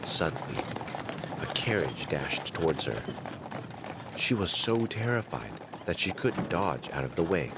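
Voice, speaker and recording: A man narrating a fairy tale in a measured, dramatic storytelling manner. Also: low-pitched, big pitch swings, creaky, very noisy.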